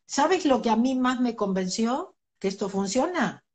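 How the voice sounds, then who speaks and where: A woman's voice: on the high side, animated, measured pace, darkish tone. Earnest middle-aged woman. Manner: earnest, laughs between points. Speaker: interview guest, spiritual teacher. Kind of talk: reflective conversational interview.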